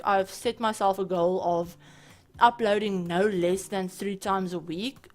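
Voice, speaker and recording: Hoarse, chatty woman gamer. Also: mid-range voice. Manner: chatty, apologetic, lots of fillers. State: hoarse, raspy voice. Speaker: gaming channel host. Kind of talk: casual voice-over commentary. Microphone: home voice-over mic.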